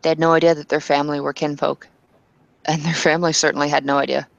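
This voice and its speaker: A woman playing Bettina, an earnest and devout kinfolk woman, arguing insistently with halting restarts in a tabletop roleplay. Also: deep voice, little pitch movement, gravelly, no room sound, muffled recording. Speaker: player character.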